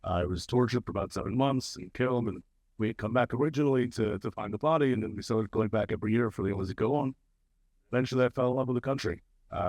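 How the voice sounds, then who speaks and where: A young man speaking as a panelist who tells a personal story in a halting way, with many fillers and restarts. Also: lowish voice, no echo.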